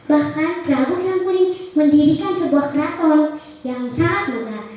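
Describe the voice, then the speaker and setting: A woman's voice: high voice, measured pace, a little mellow. A young girl telling a folk tale theatrically, declaiming with dramatic emphasis. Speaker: storytelling contestant. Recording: echoey room, distant muffled mic.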